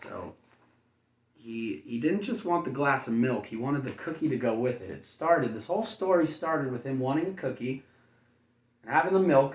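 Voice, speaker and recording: A man reading a children's picture book aloud like a kindergarten teacher, breaking off for playful, folksy, chatty asides. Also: medium pitch. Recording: roomy echo, distant microphone.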